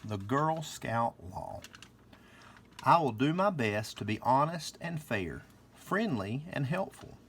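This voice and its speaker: Male children's storybook reader, mid-pitched with animated intonation, slight background noise. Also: takes it slowly. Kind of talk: children's story read-aloud.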